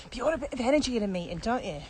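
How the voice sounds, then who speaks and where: A woman's voice: a little high, racing. A woman chatting casually as a podcast co-host. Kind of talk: casual conversational podcast chat. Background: steady ambient noise.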